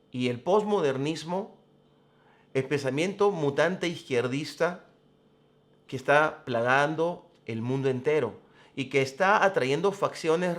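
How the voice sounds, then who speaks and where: A man hosting a programme, giving commentary in a deliberate, emphatic, explanatory way. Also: fairly high pitch. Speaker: host of a religious current-affairs programme. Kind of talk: spoken commentary to camera.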